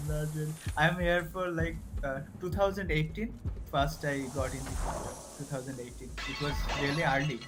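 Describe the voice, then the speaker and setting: A man's voice: a little high, fairly even intonation, moderate pace. A man in his twenties from Bangladesh chatting casually on a livestream, hesitant with many fillers and restarts. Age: 20s. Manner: hesitant, many uh and restarts, non-native phrasing. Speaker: stream guest. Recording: loud music behind the voice.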